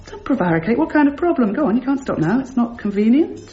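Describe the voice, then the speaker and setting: A woman's voice: on the high side, very animated, quick pace, warm tone, muffled recording, faint music behind. An actress playing a prim, composed married landlady, speaking articulately and insistently in a radio drama. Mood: probing, insistent. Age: 30s. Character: prim, probing married landlady in her thirties.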